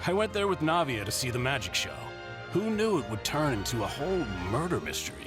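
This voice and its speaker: Over background music, a man acts out a genteel elderly gentleman for a video game, kindly and courteous. His pitch moves in lively swings, and his delivery is polite and measured. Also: natural voice. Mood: genial, courteous. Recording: music under the voice.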